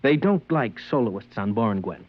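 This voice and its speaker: Businesslike government agent, terse, official, 1950s sci-fi radio drama, phone-thin audio. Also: male voice, fairly low pitch, expressive, normal speed. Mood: curt. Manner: clipped, official, unforthcoming. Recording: tinny vintage radio broadcast.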